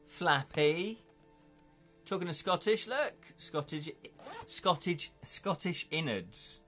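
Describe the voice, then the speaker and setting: A man with an English accent hosting a casual live stream, chatty and excitable, chuckling often as he unboxes things at home. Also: on the high side, slow pace, faint music behind. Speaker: livestream host.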